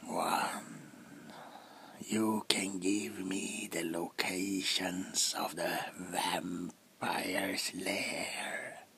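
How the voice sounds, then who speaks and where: Man acting as menacing demon, ASMR roleplay, sharp whisper, theatrical, scheming, very slow. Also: deep voice, level tone, a little hiss or hum, full character voice. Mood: sly, bargaining. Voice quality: breathy whisper, gravelly. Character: menacing, scheming male demon who bargains with humans.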